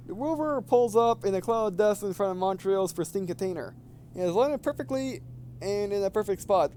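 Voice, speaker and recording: High-voiced man reading game text aloud. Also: warm tone, slight background noise. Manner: stumbles over words while reading aloud. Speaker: video game let's player. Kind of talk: reading visual novel text aloud with casual commentary.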